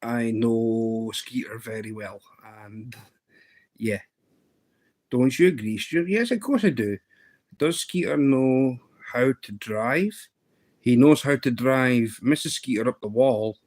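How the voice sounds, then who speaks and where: Slow-talking Scottish man in his fifties. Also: mid-range voice, a little mellow. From Scotland. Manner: rambling and playful, many ums and restarts. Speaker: livestream host. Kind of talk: casual live-stream chat.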